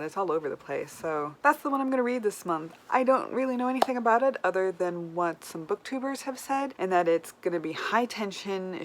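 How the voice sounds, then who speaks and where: A woman's voice: medium pitch, low-quality recording. A woman book vlogger chatting casually and informally to camera, thinking aloud as she goes. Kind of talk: casual chat to camera while playing a reading-list game.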